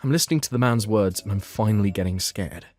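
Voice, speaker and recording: A man's voice: on the low side, fast, darkish tone. A man reading scripted first-person story narration for a manga dub, as the narrator and lead character. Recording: music behind the voice.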